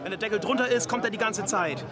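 A man, a beer hall guest, talking eagerly and quickly. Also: high-pitched, music in the background. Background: loud crowd.

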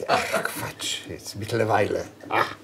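A man in his sixties from Poland, a native Polish speaker who runs a family instrument workshop, talking relaxed and joking with small hesitations in an interview. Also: lowish voice, slow, gravelly, heavy background noise. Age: 60s. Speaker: bass maker and business owner. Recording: music playing underneath.

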